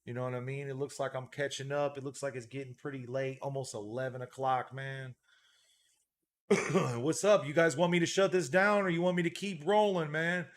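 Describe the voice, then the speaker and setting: A man's voice: mid-range voice, steady tone, normal speed. A man hosting a casual livestream as a dog breeder, laid-back, reading out and thanking viewer donations, often repeating a catchphrase.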